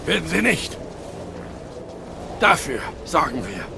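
A gravelly, desperate Victorian knight. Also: male voice, fairly high pitch, big pitch swings, takes it slowly, full character voice. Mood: urgent, commanding. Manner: urgent, intense delivery. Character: desperate, battle-worn male Victorian knight. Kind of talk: dramatic German audio drama acting. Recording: noisy battle effects behind voice.

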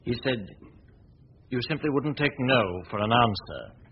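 An actor playing a suave, probing gentleman detective in a 1940s radio drama, questioning in a measured, formal way. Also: lively intonation. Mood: wry, interested. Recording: tinny, noisy 1940s radio recording with rumble.

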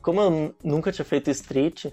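A man's voice: on the high side, normal speed, dark tone, faint music behind. A young man, a guest in a casual chat, telling stories in an easygoing, slangy way. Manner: easygoing storyteller, slangy, laughs often.

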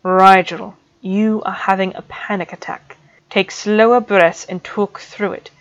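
A woman reader voicing a stern male potions professor who speaks slowly and with clipped control, on a dull lo-fi recording. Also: mid-range voice, steady tone, lightly acted. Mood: measured. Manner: slow, measured and clipped.

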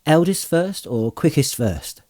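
A man reading an audiobook aloud and voicing a gentle, polite young male hobbit with a mild way of speaking. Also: on the high side, big pitch swings, unhurried, voice pushed up.

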